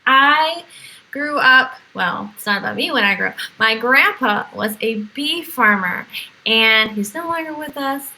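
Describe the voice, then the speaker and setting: High, bright-voiced woman teaching young children. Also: rough recording. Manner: animated, exclaiming and questioning as if to young children. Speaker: teacher reading to a virtual class. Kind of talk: children's read-aloud with teaching asides.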